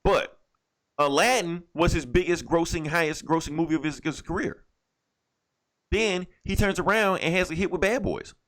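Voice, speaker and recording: A man's voice: a little high, moderate pace, a bit bright, poor audio. A man hosting a podcast with casual, opinionated movie chat, swearing freely and repeating phrases for emphasis. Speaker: podcast host.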